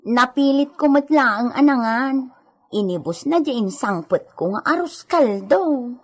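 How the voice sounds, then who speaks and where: High-voiced, unhurried caring young-sounding helper woman, acted. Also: animated. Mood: friendly. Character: Edita, caring chatty female helper tending a sick lady. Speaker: voice actor. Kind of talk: radio drama acting in Ilocano.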